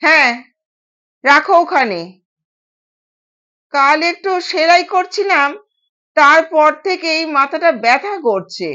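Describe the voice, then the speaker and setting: Strict older household matriarch, played by a female storyteller, curt and commanding, very high voice, lo-fi audio. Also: animated, slow pace, pauses often, pushed and bright, exaggerated voice. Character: strict, bossy older mother-in-law of the household. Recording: dull, lo-fi sound.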